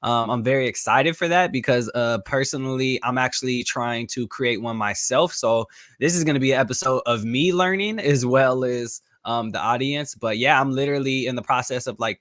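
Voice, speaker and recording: A man's voice: mid-range voice, no room sound, studio quality. Laid-back young man hosting a podcast. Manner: relaxed, rambling with many fillers. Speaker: podcast host. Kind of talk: casual interview chat.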